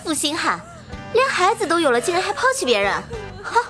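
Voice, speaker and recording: Woman as teenage girl, high voice, very animated, indignant, scolding, amateur audio drama, faint music. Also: fairly quick. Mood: outraged. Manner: scolding, excitable.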